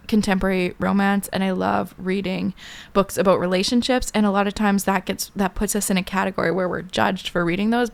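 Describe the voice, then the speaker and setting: Woman, podcast co-host, creaky voice, casual book chat, opinionated, giggly. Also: medium pitch.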